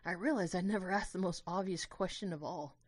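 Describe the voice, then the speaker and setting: A woman's voice: on the low side, fairly quick, no room sound, clean studio sound. A woman reading scripted first-person story narration as the narrator of an audio drama.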